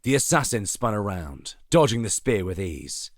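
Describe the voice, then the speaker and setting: A male narrator reads a dramatic scripted tale. His voice is very low, and it is recorded dry, with hardly any room sound. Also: sing-song, normal speed, dry recording.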